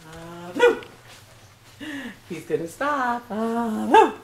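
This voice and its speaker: A woman's voice: fairly high pitch, very animated, very slow, a little hiss or hum. An older woman playing with a baby grandchild at home, cooing and chanting sing-song baby talk. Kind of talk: baby talk and singsong play. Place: bedroom in a home. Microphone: handheld home camera held by someone else.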